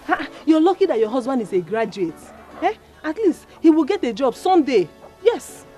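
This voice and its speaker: A woman's voice: sits high, very animated, normal speed, mellow, faint music behind. A woman playing a chatty, exclamatory hairdresser complaining about hard times. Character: gossiping struggling village hairdresser woman. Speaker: hairdresser shop owner. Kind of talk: skit acting.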